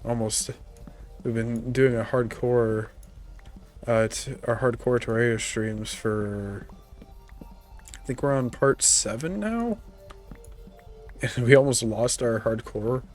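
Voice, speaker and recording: A man streaming a video game, talking casually in a relaxed, rambling way with many fillers, his voice congested from a cold. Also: medium pitch, slow, long pauses, soft background music. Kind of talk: casual gaming commentary.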